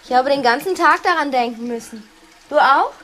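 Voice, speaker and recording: A well-to-do wife in a radio play, with a rather high voice. She is lonely and fond of drink, seductive on the surface and insecure underneath. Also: normal speed, a bit bright, slight background noise. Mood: coaxing.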